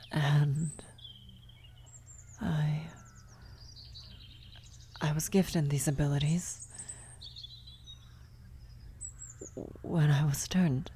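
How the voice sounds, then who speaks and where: A woman acts out a worried female vampire in a medieval fantasy ASMR roleplay. Her low voice is soft and mellow, often slipping into a whisper. She speaks haltingly and gently, with faint birdsong and nature ambience behind her. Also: a little hiss or hum. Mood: hesitant, confessing. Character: worried female vampire blood sorcerer, tender, guilty lover. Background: birdsong, nature ambience effects.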